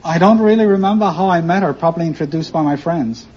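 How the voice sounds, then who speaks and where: Higher-pitched middle-aged man, muffled old recording. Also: quick pace, darkish tone. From New York City, born in Austria. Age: 50s. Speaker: quiz show contestant, musicologist. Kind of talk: conversational storytelling in an interview. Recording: muffled, noisy vintage broadcast recording.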